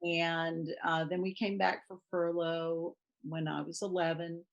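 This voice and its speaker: Gravelly low-voiced woman chatting. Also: little pitch movement, measured pace, highs cut off. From Thailand. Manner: chatty, laughs while telling anecdotes. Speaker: guest author. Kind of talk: casual interview chat.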